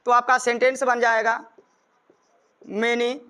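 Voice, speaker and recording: A man's voice: very high voice, flat delivery, slow pace, pushed and bright, smooth. A man with a North Indian accent, a native Hindi speaker, teaching grammar in an emphatic, repetitive way and dictating examples word by word. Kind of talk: classroom lesson explanation with dictated examples.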